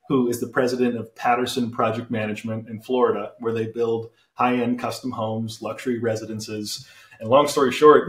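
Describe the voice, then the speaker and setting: A young man hosting a podcast from a home studio, introducing his guest with upbeat energy. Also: mid-range voice. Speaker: podcast host. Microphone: studio condenser mic. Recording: roomy echo.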